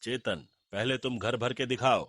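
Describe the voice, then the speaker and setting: A man voicing an elderly landlord father in a story cartoon, husky, measured and commanding. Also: medium pitch, fairly bright tone, no room sound, studio quality, natural voice. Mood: instructing.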